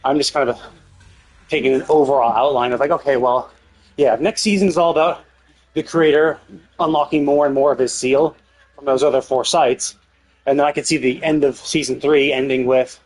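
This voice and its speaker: A man's voice: mid-range voice, fairly even intonation, normal speed, pauses often, rough recording. A man talking casually on a game livestream, thinking aloud in a rambling way and often repeating his words. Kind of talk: casual livestream commentary, speculating.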